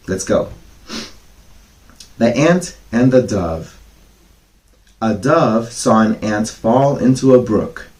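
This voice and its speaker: A man reading children's bedtime fables as a storyteller, with casual, gently instructive asides to the kids. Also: on the low side, rough recording. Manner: gently didactic, explains each moral.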